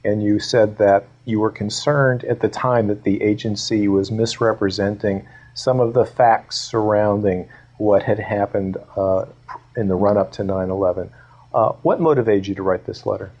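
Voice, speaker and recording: A man hosting an interview, putting questions in a measured, conversational way. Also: fairly low pitch, steady tone, darkish tone, lo-fi sound. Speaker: interviewer, host.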